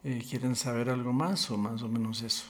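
An older man, sixties or above, telling his story as a pastor and interview guest in a measured, deliberate way with frequent pauses. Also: mid-range voice, fairly even intonation. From Colombia. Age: 60s or older.

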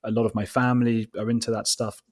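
A man with a husky voice, a guest telling casual, rambling anecdotes in a conversational, informal way, often interrupting himself. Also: fairly low pitch, flat delivery, fast, darkish tone. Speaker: interview guest, documentary maker.

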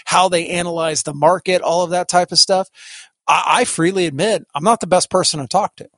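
A man's voice: high-pitched, brisk, bright, forceful tone, dry recording, studio quality. A man hosting a casual podcast chat, rambling and restarting his sentences often. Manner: rambling, self-interrupting, many restarts. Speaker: podcast host, software company executive.

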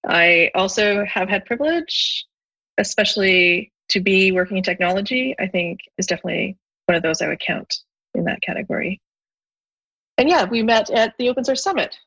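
A woman from Canada, a guest on a podcast, talking thoughtfully in a relaxed conversation, often restarting her sentences. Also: mid-range voice, moderate pace, husky, muffled recording. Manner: thoughtful, with frequent restarts and fillers. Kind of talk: conversational interview.

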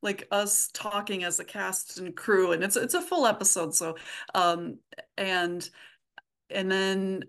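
A woman speaking as an interview guest in a casual, halting way, with thoughts that trail and pick up again. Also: medium pitch, fairly bright tone. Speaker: podcast guest, comedy audio drama writer.

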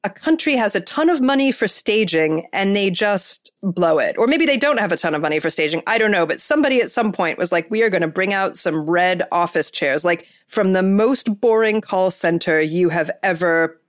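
A woman's voice: lowish voice, animated, normal speed, hardly any pauses. A woman hosting a podcast, chatting casually and enthusiastically, with lots of fillers and restarts. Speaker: podcast host. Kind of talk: casual chat.

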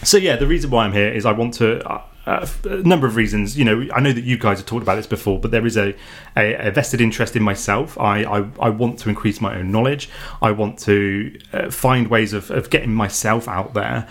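A man with a fairly low voice talks casually on a podcast. He pauses often and restarts his sentences. His delivery is easygoing. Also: fairly bright tone. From United Kingdom. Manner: hesitant, many ums and restarts. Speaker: podcast co-host.

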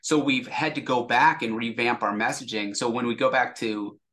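A man's voice: medium pitch, fairly even intonation, normal speed, pushed and bright. A man speaking as an interview guest, a sales executive explaining things casually and acting out example dialogue.

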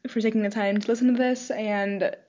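A woman's voice: mid-range voice, steady tone, a little fast, dull audio. A young woman hosting a casual podcast interview, effusive and full of fillers. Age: young adult. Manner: warm, effusive, many fillers. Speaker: podcast host.

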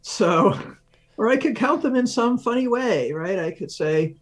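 A man's voice: sits high, animated, unhurried. A man speaking as an expert interview guest, explaining science step by step, thinking aloud and answering his own questions with examples. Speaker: research professor, interview guest.

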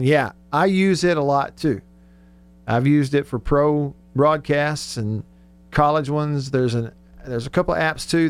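A man's voice: mid-range voice, vocal fry, clear, resonant tone. A man hosting a casual sports radio show, conversational and thinking aloud as he goes.

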